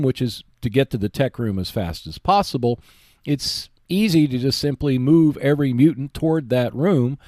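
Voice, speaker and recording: A man hosting a hobby show, giving explanatory, semi-scripted commentary in a measured, emphatic way. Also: medium pitch, a little mellow, no room sound, clean studio sound. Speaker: host of a board game video show.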